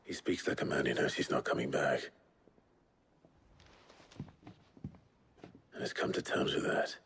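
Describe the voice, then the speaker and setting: A man's voice: rumbling low, a little fast, background noise. A man playing a weary, resolute warrior and sworn protector in a cinematic game, speaking earnestly and with restraint. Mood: somber. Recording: orchestral music behind the voice.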